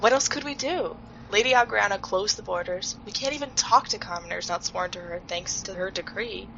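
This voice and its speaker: A woman's voice: medium pitch, a little fast, creaky, close to an everyday voice. A woman playing a desperate, determined fugitive in a fantasy audio drama, urgent and insistent, on noisy lo-fi audio. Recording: dull, noisy lo-fi recording.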